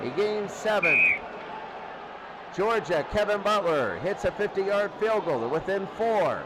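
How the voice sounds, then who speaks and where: Guy doing football play-by-play, high voice, short exclamatory bursts, really noisy recording. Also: animated, unhurried. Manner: exclamatory, narrating in short present-tense bursts. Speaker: play-by-play sports commentator. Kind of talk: excited American football commentary. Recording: noisy recording with loud crowd din. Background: loud crowd noise.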